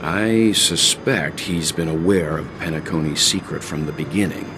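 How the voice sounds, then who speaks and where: Very deep, composed strategist. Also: male voice. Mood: grave, reasoning. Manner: measured, formal, deliberate. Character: composed, analytical mature male strategist. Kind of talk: video game cutscene acting. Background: background music.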